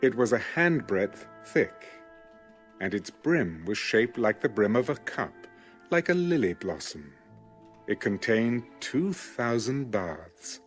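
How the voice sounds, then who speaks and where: A man delivers a scripted scripture reading. His phrasing is measured and formal, yet the pitch moves expressively. Faint music plays behind him. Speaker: Bible audiobook narrator.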